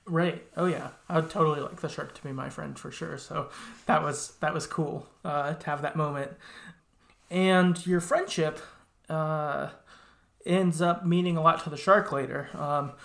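Male podcast host. Creaky voice, easygoing conversational recap, slightly hesitant phrasing. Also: on the high side, fairly even intonation, a little slow, fairly bright tone. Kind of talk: casual chat recapping a game.

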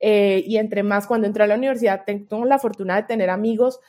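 A woman with a Colombian accent, speaking as a podcast guest and researcher, giving advice in an earnest, expressive and casual conversational way. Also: mid-range voice, quick pace.

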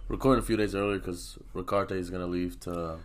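A man's voice: low voice, steady tone, brisk. A young man co-hosting a podcast in casual chat, sick and coughing, talking in a loose, profane, rambling way. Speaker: podcast co-host.

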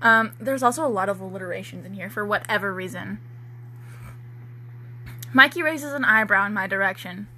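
A woman with a bright voice reads fiction aloud in a casual, comedic way. She banters, mocks herself and sounds giggly and irreverent. There is faint noise on the recording. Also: medium pitch, moderate pace, long pauses. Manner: giggly, self-mocking, irreverent. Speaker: co-host and writer of the story being read.

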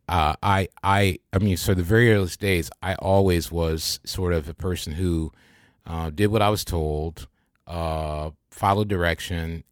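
An older man with an American accent, hosting a reflective religious podcast in a halting, conversational way. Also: low voice, no echo, clean studio sound. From American Midwest. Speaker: podcast host. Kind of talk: reflective religious talk to a small group.

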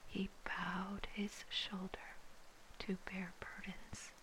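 A woman reading scripture in a soft, breathy, unvoiced whisper, ASMR style, with measured phrasing. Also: mid-range voice, flat delivery, poor audio. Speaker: scripture reader.

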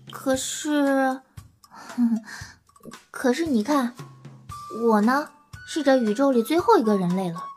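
An actress playing a cheeky, guileless last human in an audio drama, plain-spoken, teasing and theatrical. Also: female voice, on the high side, a little mellow. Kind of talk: Chinese audio drama acting and narration. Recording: music under the voice. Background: background music.

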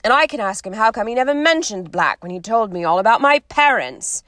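A woman's voice: on the high side, sing-song, fairly quick, a bit bright, dry recording, studio quality. Woman voicing a curt determined teenage boy. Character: angry grieving teenage boy.

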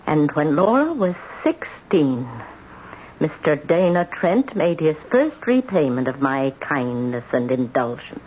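A woman's voice: deep voice, big pitch swings, unhurried, darkish tone. An actress playing a tough, proud older grandmother in an old-time radio drama, with dramatic, emphatic delivery. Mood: grave, confiding. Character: tough, proud widowed grandmother confessing family tragedy. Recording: muffled, noisy old radio recording.

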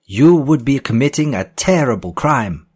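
A man voicing a frightened male physicist in an audiobook, shrill and trembling, with formal urgency. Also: lively intonation, normal speed, a little mellow, breathy edge. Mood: shrill alarm.

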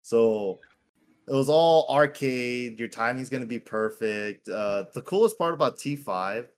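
A man's voice: medium pitch, animated, unhurried. A man in his thirties, from Hawaii, chatting casually as a podcast guest and calling people "dude" a lot. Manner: laid-back, keeps calling people "dude". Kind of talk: casual chat.

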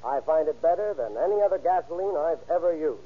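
A man's voice: fairly high pitch, animated, a little fast. A man playing an earnest, emphatic motorist giving a testimonial in a 1930s radio advertisement. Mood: earnest, assured. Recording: dull 1930s radio recording.

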